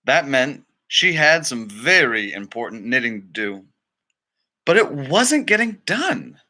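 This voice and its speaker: A man's voice: medium pitch, sing-song, measured pace, pushed and bright. A man reading a children's story aloud expressively, with dramatic phrasing and casual asides. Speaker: storybook reader.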